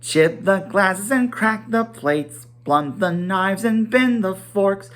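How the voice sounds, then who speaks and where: A man's voice: high voice, takes it slowly, fairly bright tone, faint music behind, voice pushed up. A man singing as mischievous, boisterous dwarves in a rhythmic, teasing storybook song.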